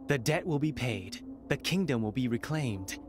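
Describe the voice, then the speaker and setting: A man's voice: mid-range voice, animated, moderate pace, a little hiss or hum, lightly acted. A man playing a smug, theatrical usurper prince. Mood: gloating. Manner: theatrical, smug, drawling sarcasm. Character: arrogant, mocking noble heir claiming the throne. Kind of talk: video game voice acting.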